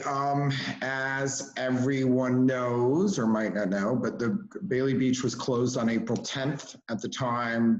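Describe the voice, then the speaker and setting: An American man giving an informal update as a local commissioner at an online public meeting, explaining things in a measured way. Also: mid-range voice. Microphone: video call. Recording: dull, lo-fi video call audio.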